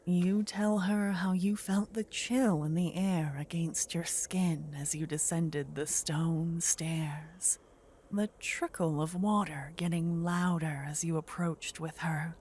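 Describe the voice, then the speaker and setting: A woman gives scripted second-person fantasy narration for ASMR in a soft, hushed, partly whispered voice, with measured storytelling. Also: lowish voice, fairly even intonation, slight background noise.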